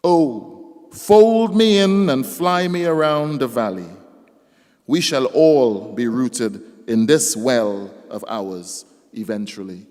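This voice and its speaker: A male poet reads his work at a live event, taking each line slowly and deliberately. His voice sits at an ordinary pitch and gathers force on the poems themselves. The hall lends it a long, lingering reverb. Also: a little mellow. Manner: slow, deliberate recitation that rises in force on the poems. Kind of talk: live poetry reading with casual stage banter.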